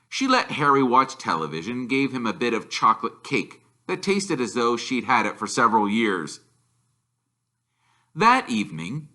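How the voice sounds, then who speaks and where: Male teacher as storybook narrator: mid-pitched, very bright, animated delivery. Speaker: teacher reading aloud.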